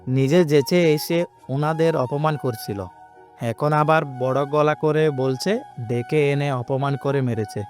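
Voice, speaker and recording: An actor playing an indignant, decent young bystander in an audio story, earnest and reproachful. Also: male voice, on the high side, slow pace, a little mellow, faint music behind. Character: indignant, decent young man defending strangers.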